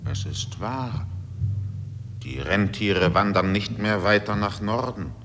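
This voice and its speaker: A man voicing a brooding, menacing mountain spirit, speaking ominously in a 1950s German radio play. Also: lowish voice. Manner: slow, ominous, portentous. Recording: noisy old radio drama, long reverb.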